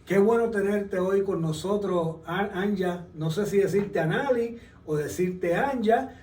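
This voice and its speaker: High-voiced male show host, roomy. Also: unhurried, darkish tone. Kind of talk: interview hosting. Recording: roomy, distant mic.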